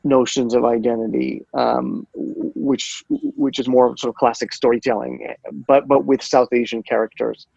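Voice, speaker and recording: A man with a gravelly voice talks about his short film, stopping and restarting often. The sound is dry and muffled, like a lo-fi video call. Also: medium pitch, sing-song, darkish tone, no echo. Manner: halting, many repeats and fillers. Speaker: short filmmaker. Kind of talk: casual interview answer.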